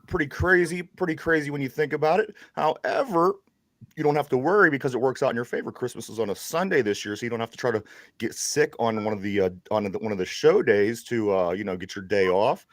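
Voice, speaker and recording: A man's voice: mid-range voice, fast, no echo. A man with a Pittsburgh accent hosting a casual sports podcast, rambling with restarts. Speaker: podcast host.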